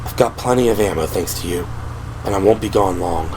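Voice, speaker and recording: A man playing a steady, reassuring male special-police officer in an audio drama, serious but gentle and soothing. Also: sits low, fairly quick, slight background noise, pitched below the performer's own voice, close to an everyday voice. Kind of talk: audio drama character acting. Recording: music behind the voice.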